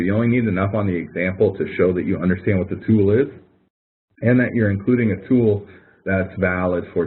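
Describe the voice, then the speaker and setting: A male instructor giving a webinar lecture in a conversational way, walking through examples and correcting himself as he goes. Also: low-pitched. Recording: tinny phone-like webinar audio.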